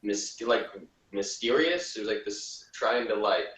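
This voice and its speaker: A man giving casual, critical opinions on a TV show in a hesitant, halting way. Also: on the low side, animated, a bit bright. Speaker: podcast reviewer. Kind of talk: casual TV review chat. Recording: roomy, distant, slightly muffled.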